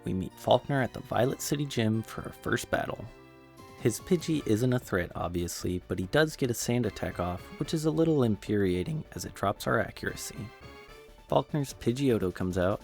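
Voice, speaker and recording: Low, creaky man narrating gameplay. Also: darkish tone. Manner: casual, wry, self-deprecating. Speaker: gaming video creator and narrator. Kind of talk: scripted gameplay commentary.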